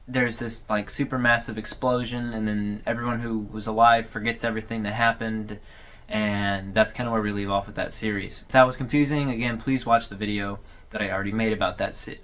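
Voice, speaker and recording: A man's voice: mid-range voice, fairly bright tone. Man casually recapping a story. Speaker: video host recapping an online horror series. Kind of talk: casual explanatory storytelling commentary.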